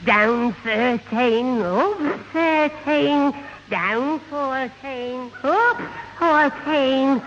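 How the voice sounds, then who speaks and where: A man's voice: very high-pitched, drawn-out words, full character voice. An actor playing a gormless, good-natured young man, stammering and muddled, in a radio sitcom. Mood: earnest, muddled. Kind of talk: 1970s radio sitcom acting. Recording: muffled, noisy old radio, echoing hall.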